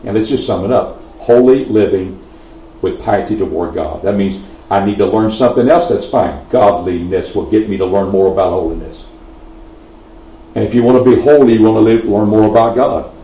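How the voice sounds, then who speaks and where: A man's voice: fairly low pitch, normal speed, warm tone. Texan man preaching in an echoey hall. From Texas. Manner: emphatic, swelling on key phrases, frequent restarts. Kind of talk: sermon. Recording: roomy echo, mic at a distance.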